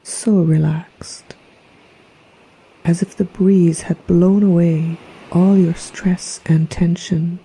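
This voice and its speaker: A woman narrating a guided meditation in a soft, breathy, half-whispered voice with a gentle, soothing, hypnotic manner. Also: on the low side, fairly even intonation, takes it slowly, low-quality recording. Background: relaxation music.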